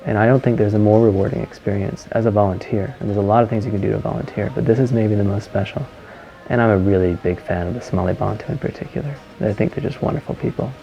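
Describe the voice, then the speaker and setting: A man volunteer speaking fast and enthusiastically. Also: on the low side, vocal fry, mellow, faint music behind. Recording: dull, distant recording.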